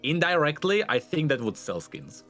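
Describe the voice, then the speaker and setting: A man's voice: a little high, big pitch swings, normal speed, a bit bright. A man in a casual gaming discussion as a guest, repeating words for emphasis. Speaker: podcast guest. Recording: faint music in the background. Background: faint music.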